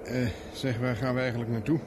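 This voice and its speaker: An actor playing a wry, stubborn captive private investigator in a radio drama, with a flippant manner. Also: male voice, mid-range voice, flat delivery, a little fast, close to an everyday voice. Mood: relieved, wary. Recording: noisy old radio play recording.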